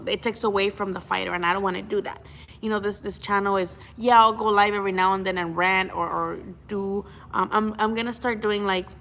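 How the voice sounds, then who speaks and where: A woman's voice: medium pitch, a bit bright. Chatty young woman. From Mexico. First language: Spanish. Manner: chatty, frank, swears freely, many restarts. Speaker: boxing channel host. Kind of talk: casual livestream chat.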